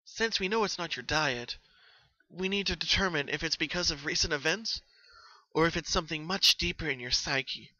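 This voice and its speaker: A man puts on a studious young female unicorn for a dramatic reading. The voice is lifted above his own and sharply bright, recorded dry. She sounds earnest, analytical and supportive toward her friend. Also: on the high side, normal speed, dry recording, lo-fi sound, pitched above the performer's own voice. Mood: reassuring.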